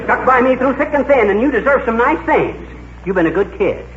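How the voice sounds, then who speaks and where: An echoey vintage radio recording of a blustering husband. His high voice bounces around as he brags and wisecracks in folksy, ungrammatical 1940s comedy style. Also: expressive, a little fast, lo-fi sound, heavy background noise. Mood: boastful, cajoling. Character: boastful, blustering middle-aged husband, folksy schemer and wisecracker.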